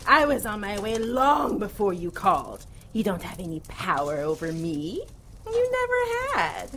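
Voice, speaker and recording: A cruel, seductive demoness in an audio drama. She draws out her taunts slowly and swoops through her pitch with theatrical relish, over noticeable background noise. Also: sing-song, fairly bright tone. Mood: gloating. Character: hideous female demon, cruel, mocking, seductive and vengeful.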